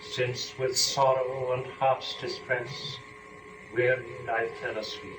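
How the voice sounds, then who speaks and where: A man performing solemn epic verse as archangel and Eve, in a measured, declamatory dramatic reading. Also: mid-range voice, little pitch movement, close to an everyday voice. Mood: tender, resolved. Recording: roomy, distant, muffled, faint noise.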